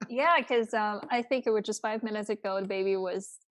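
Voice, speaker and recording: A woman's voice: medium pitch, brisk, dry recording. A woman whose first language is Mandarin Chinese, a new mother chatting as a podcast guest, hesitant and searching for words. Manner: hesitant, with many fillers and restarts. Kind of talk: casual podcast chat.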